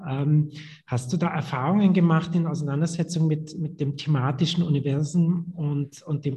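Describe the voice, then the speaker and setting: A man hosting a podcast asks long, carefully built academic questions in a measured way. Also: on the high side, mellow. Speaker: podcast host and interviewer.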